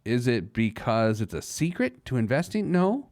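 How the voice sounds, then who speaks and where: A man's voice: medium pitch, big pitch swings, moderate pace, darkish tone, dry recording, studio quality. A man hosting a personal finance radio show off the cuff, emphatic and often answering his own rhetorical questions. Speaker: radio host, financial planner.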